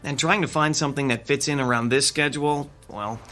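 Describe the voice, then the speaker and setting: A man's voice: on the high side, steady tone, fairly quick, sharp tone, faint music behind. An actor playing a frustrated young theater techie who has just lost his job, talking wearily. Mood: weary. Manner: weary, grumbling.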